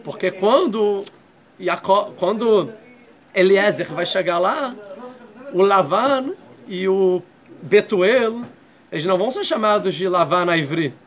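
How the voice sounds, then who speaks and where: Man teaching a torah class, high voice, patient, walks through each point. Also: animated, slow. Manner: explains step by step, checks in with "tá?". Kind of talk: classroom lecture.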